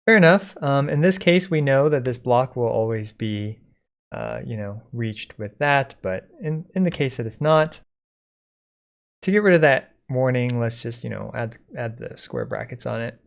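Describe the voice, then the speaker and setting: A man giving a casual software code-along, thinking aloud informally as he types. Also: medium pitch, lively intonation, moderate pace, creaky. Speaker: software tutorial instructor.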